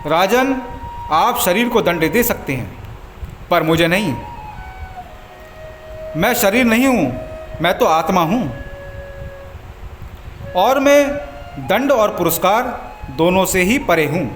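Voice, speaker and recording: A man voicing a serene, humble enlightened sage in a devotional story, teaching in a solemn, deliberate manner. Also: high-pitched, animated, fairly bright tone, slight background noise, heavily acted. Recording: echoey voice over music.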